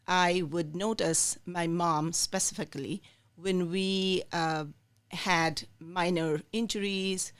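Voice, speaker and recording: A middle-aged woman from India talks through her career as a podcast guest. Her voice sits a little low and she fills pauses with plenty of ums. She tells her story in a warm, unhurried way. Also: clean tone. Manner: warm, storytelling with many fillers. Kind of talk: interview, telling her life story.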